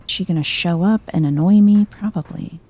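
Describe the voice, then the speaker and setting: A soft-spoken woman giving chill, understated game commentary in an ASMR style, musing aloud as she plays. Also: fairly low pitch, brisk, background noise. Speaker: video game streamer.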